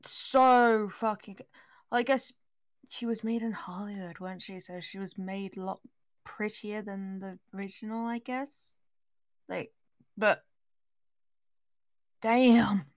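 A woman's voice: mid-range voice, slow pace, pauses often, dry recording, studio quality. A woman co-hosting a casual film chat, reacting in an informal, gushing way with plenty of swearing. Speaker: podcast co-host.

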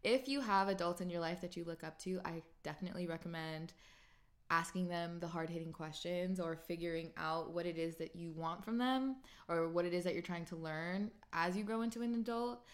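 A woman's voice: lowish voice, fairly quick. A woman in her late twenties hosting a podcast, answering listener questions in a chatty, upbeat way and animating her voice when she quotes herself. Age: 20s. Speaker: podcast host. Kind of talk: casual solo Q&A podcast.

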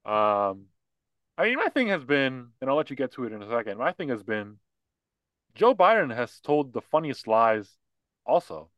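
A man's voice: medium pitch, sing-song, normal speed, no echo, highs cut off. A man co-hosting a podcast, talking casually and opinionatedly about politics and mocking the people he discusses. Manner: opinionated, mocking, acts out quotes. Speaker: podcast co-host.

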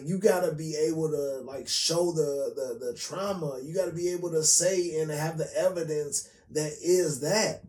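An American man with a raspy voice co-hosting a podcast in casual, slangy, profane, opinionated chat. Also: on the high side, a little mellow. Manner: slangy, profane, repetitive emphasis. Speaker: podcast co-host. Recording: roomy echo, distant from mic.

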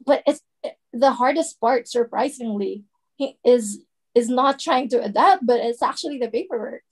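Chatty Filipino woman, fairly high voice. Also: moderate pace, a little mellow, breathy edge. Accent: Filipino Tagalog. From Philippines. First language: Tagalog. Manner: chatty, laughs often, mixes in Tagalog phrases. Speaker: podcast co-host. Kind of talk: casual chat.

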